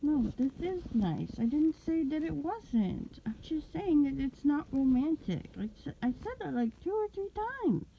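A woman playing a sleepy, pouty young streamer roommate in an intimate ASMR roleplay, mumbling drowsily with drawn-out words and affection under the grumbling. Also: high voice, sing-song, creaky, lo-fi sound, full character voice. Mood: reluctant, pouty. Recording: close recording with background rustling noise. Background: fabric rustling.

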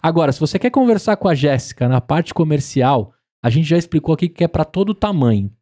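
A man's voice: on the high side, very animated, fairly quick, warm tone. A man in his thirties hosting a business podcast in a studio, talking with enthusiastic, promotional energy. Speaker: podcast host. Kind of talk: casual business podcast chat. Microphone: studio mic on boom arm, headphones.

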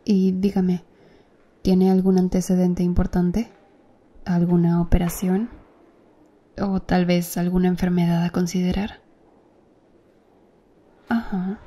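A woman acting as a calm, attentive female doctor in an ASMR roleplay, speaking softly and close to the listener. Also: on the low side, takes it slowly, pauses often. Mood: gentle. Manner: soft, close-up ASMR speech, gently addressing the listener.

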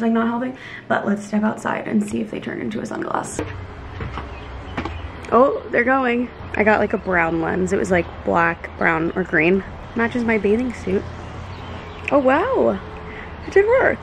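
Chatty young woman with a gravelly edge. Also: medium pitch, background noise. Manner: chatty, upbeat, lots of "like". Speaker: lifestyle vlogger. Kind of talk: casual vlog talking to camera. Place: home kitchen indoors, then outdoors in a backyard. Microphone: camera on a desk, then handheld at arm's length.

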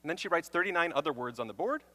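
A man's voice: a little high, very fast. A young man telling self-mocking stories in a stage talk. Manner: animated and self-mocking storytelling. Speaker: speaker. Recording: long hall reverb.

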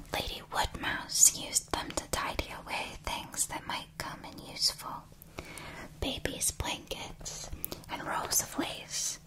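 Soft-spoken woman narrator, whispers a bedtime story slowly with a grainy edge. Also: mid-range voice, low-quality recording. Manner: slow, calm and hushed. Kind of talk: whispered ASMR story reading.

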